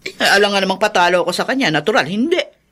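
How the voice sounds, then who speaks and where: A woman's voice: mid-range voice, expressive, fast, fairly bright tone. A Filipino woman playing a selfish, scornful mother in a radio drama, brash and dismissive. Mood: irritated, scornful. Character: selfish, callous mother abandoning her grown children. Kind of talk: Tagalog radio drama acting.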